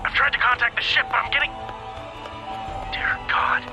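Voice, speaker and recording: An actor playing a brash young pirate boss who talks in an energetic, informal way. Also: male voice, extremely high pitch, moderate pace, music in the background. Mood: urgent.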